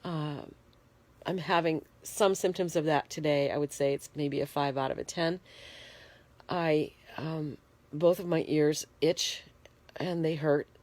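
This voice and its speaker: A woman in a bedroom talking frankly into a handheld phone, congested and shivering, pausing often with fillers. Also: low-pitched, steady tone, a little mellow. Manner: plain-spoken, frank, halting with fillers. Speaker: vlogger. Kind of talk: casual personal video diary. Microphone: phone held in hand.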